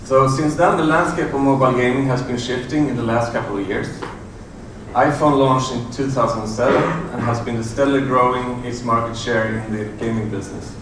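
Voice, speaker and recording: A man's voice: medium pitch, steady tone. Distant man presenting in an echoey hall. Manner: halting, many fillers and restarts. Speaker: conference presenter. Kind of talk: conference talk. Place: large hotel conference room. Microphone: room audio from a far camera. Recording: echoey, distant room recording with noise.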